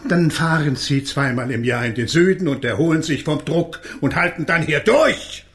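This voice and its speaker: An actor playing a cynical official in a radio play, lecturing in a curt, smug manner. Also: male voice, fairly high pitch, lively intonation, normal speed, fairly bright tone. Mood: smugly dismissive. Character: brisk, cynical official dispensing conformist advice.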